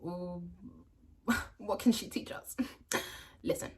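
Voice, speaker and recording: A young woman from France with a London accent and French as her first language, giving language-learning tips to camera in a chatty, animated way. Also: on the low side, slow pace. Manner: chatty and animated, with playful asides. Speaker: language vlogger.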